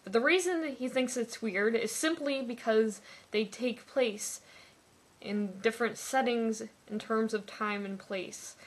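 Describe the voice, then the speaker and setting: A woman's voice: a little high, unhurried. A young woman in her twenties giving a sarcastic, pedantic comic review of a book.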